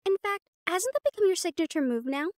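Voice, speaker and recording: A woman's voice: very high-pitched, very animated, a little fast, dry recording, clean studio sound. A woman voicing a snobby, catty teenage catgirl cheer captain in an audio roleplay, talking in a haughty, teasing and bossy way.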